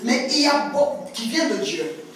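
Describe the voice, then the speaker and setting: High-voiced woman preaching in an echoey hall. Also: big pitch swings, moderate pace, a bit bright. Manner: emphatic, repeats words, stretches key phrases. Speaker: prophetess preaching. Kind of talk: sermon. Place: church hall. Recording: echoey church hall, distant mic, faint music.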